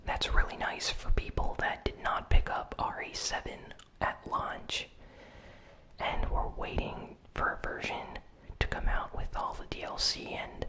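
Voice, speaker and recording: A host speaking in a breathy, unvoiced whisper, softly recapping gaming news in a casual, measured ASMR style. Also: low-quality recording.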